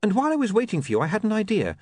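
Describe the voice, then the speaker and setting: A man's voice: sits high, wildly swooping pitch, very fast, darkish tone, no room sound, voice pushed up, exaggerated voice. A man voicing a shy, nervous young woman in a storybook reading, hesitant and sprinkled with little ums.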